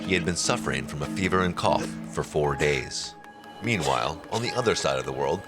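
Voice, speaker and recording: A man reading scripted documentary narration in a measured, serious manner. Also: deep voice.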